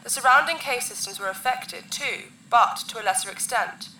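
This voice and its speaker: Commanding insectoid alien queen, regal and formal, bright high voice, sci-fi audio drama. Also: echoey room, recorded from a distance, a little hiss or hum, rough recording. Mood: grave. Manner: formal, imperious, measured phrasing.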